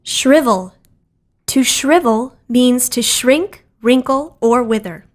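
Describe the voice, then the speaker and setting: A woman with a somewhat high voice narrates a vocabulary lesson. She reads words and their definitions in a scripted, educational way. The sound is dry, with little room in it. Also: slow, no room sound.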